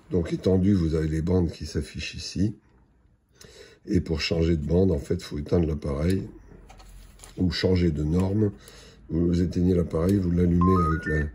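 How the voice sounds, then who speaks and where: A man giving an unscripted product demonstration in a hesitant, halting, matter-of-fact way. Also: low voice, warm tone, slight background noise. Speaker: product presenter.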